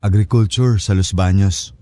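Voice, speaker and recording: A man's voice: on the low side, fairly even intonation, a little slow, warm tone, dry recording, clean studio sound, natural voice. A man acting a reserved young college student in a Filipino radio drama, serious and subdued.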